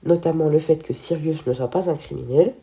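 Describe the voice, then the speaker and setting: A woman's voice: sits low, fairly quick, creaky, mellow. A teenage girl with a stuffy head cold, chatting casually through a film video and tripping over her words. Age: teens.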